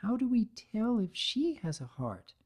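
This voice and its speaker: A woman voicing a gentle, protective young female cartoon pony in a story reading, soft, breathy and hesitant. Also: fairly high pitch, big pitch swings, unhurried, voice pushed up, heavily acted. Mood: timid worry.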